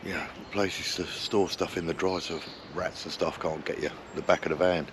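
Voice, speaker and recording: Hungover deep-voiced man. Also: steady tone, moderate pace, background noise. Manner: chatty, jokey, self-mocking. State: hungover, groggy. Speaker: vlogger. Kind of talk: casual camping vlog to camera. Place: woodland camp outdoors.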